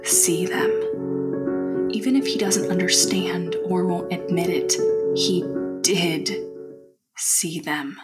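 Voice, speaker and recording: An actress playing a haunted, insistent young woman, narrating an audio diary in an audio drama with deliberate, clipped phrases. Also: lowish voice, fairly even intonation, over loud music. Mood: uneasy, insistent.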